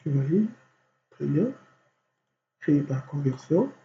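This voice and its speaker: A man with a head cold walks through a software tutorial, talking himself through each click. His fairly high voice has a gravelly edge. The recording is dull and lo-fi, with a faint hum underneath. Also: lively intonation, takes it slowly. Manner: thinks aloud step by step, constant "voilà". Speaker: software instructor.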